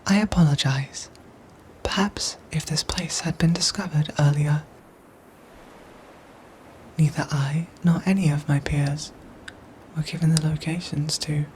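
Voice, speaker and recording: Low, whispery female android soldier, acted by a woman. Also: a little hiss or hum, poor audio. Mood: apologetic. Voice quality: soft, breathy, whispery. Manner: measured, formal, robotic phrasing with careful pauses. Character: calm, protective female android soldier, formal and gentle. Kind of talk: ASMR audio roleplay acting.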